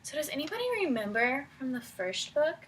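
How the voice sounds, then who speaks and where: A woman reading a picture book aloud to children as their teacher, pausing to prompt them with questions in an encouraging way. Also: high voice, expressive, slight background noise. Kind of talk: read-aloud storytelling with questions to young listeners.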